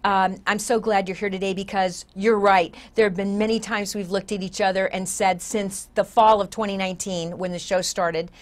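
A woman's voice: mid-range voice, little pitch movement, moderate pace, hardly any pauses. A woman in her sixties hosting a talk show in a conversational, effusive and emphatic way. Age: older, 60s. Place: TV studio set, seated.

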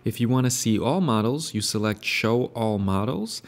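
Upbeat man guiding a software tutorial. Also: mid-range voice, lively intonation, moderate pace. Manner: upbeat, explanatory, eager. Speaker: tutorial host and AI consultant. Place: indoor room in front of a painted brick wall.

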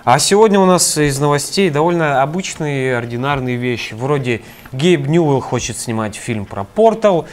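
A man's voice: medium pitch, lively intonation, normal speed, hardly any pauses. Nasal young man reading game news. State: nasal from a cold. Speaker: news presenter. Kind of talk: casual news rundown. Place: small studio.

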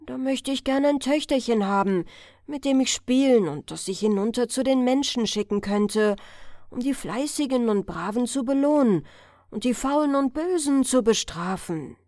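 A woman voicing a gentle, friendly, aging fairy-tale woman, speaking politely and with warm-hearted pleading. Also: on the high side, expressive, normal speed, dry recording, clean studio sound, close to an everyday voice. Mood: wistful, pleading. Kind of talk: fairy-tale storybook reading.

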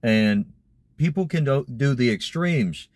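A man's voice: medium pitch, lively intonation, slow, darkish tone, no echo, studio quality. A young man talking casually to camera, explaining things as a vlogger sitting in a parked car. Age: young adult. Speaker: vlogger discussing voice acting.

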